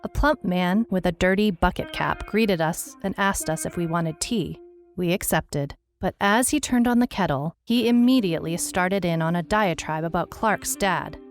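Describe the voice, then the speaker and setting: An actress plays a world-weary female private eye with a low voice. She narrates in a scripted noir audio drama style, dry and deadpan, with wry understatement throughout. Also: normal speed, soft background music, lightly acted. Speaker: narrator, lead actor.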